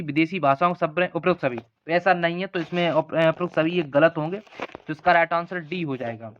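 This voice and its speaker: A man's voice: fairly high pitch, lo-fi sound. A man teaching an exam-prep lesson, reading out questions and options in an instructional way and repeating the answers. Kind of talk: lesson walking through multiple-choice practice questions.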